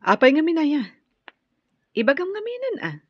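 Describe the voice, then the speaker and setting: A woman's voice: high voice, wildly swooping pitch, moderate pace, no room sound. A woman playing a frank, supportive older-sister rebel comrade in an Ilocano radio drama. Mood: curious, frank. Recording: dull, dry radio drama audio.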